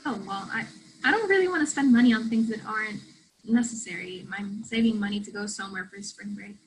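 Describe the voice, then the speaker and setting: A woman's voice: medium pitch, a little fast, slight background noise, lightly acted, poor audio. A woman in a play reading playing a blunt young South American student who talks in a casual, slangy, sweary ramble. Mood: guarded, casual. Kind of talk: play reading, naturalistic conversational acting.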